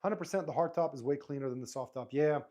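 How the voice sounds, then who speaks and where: A man whose first language is Arabic, hosting a live stream in a relaxed, rambling way, reading viewer comments aloud and answering them. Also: fairly high pitch, quick pace. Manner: relaxed, rambling, frequent restarts and fillers.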